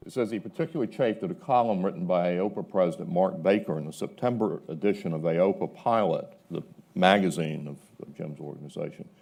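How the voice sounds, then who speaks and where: A man with a very low-pitched, dark and mellow voice speaks as a panelist. He argues his case in a measured but emphatic way, setting out his points like someone citing evidence. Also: gravelly. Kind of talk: panel discussion, arguing a point. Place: live panel event before an audience.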